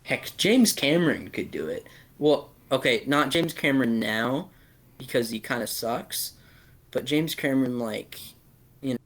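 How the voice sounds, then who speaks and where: A man in a casual podcast chat, giving blunt opinions in a halting way and often repeating his phrases. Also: medium pitch, expressive, a bit bright. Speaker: podcast host discussing films and games.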